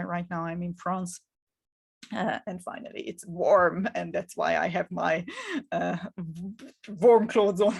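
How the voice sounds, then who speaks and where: A middle-aged woman from Hungary speaks English with a Hungarian accent, and her voice carries a gravelly fry. She coaches in an upbeat, encouraging way, restarting phrases and filling gaps with "uh". Her pitch sits in the ordinary middle range for a woman. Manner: energetic, encouraging, repeats words and fills pauses with uh. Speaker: marketing coach. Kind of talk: motivational webinar talk.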